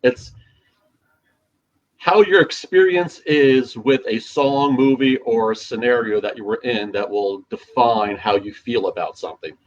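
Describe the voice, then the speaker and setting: A man co-hosting a casual podcast as a DJ, rambling with lots of fillers and restarts, his voice a little gravelly. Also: medium pitch, a little slow, muffled recording. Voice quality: slightly gravelly. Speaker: podcast co-host, DJ. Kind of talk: casual chat.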